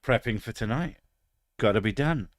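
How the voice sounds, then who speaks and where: A man's voice: medium pitch, animated, normal speed, no room sound, studio quality. A British man in his thirties chatting casually on a stream, crude and sarcastic, swearing freely. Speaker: streamer reading chat. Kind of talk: casual live stream chat.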